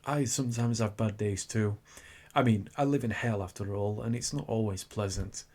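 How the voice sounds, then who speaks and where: A husky incubus demon, acted out by a man, speaking in a fairly low voice. He is suave and theatrical, a teasing seducer with a tender side, performed as an intimate ASMR roleplay character. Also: fairly even intonation, moderate pace, darkish tone, natural voice. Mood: apologetic, sympathetic. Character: seductive, cocky male incubus demon turning flustered and tender.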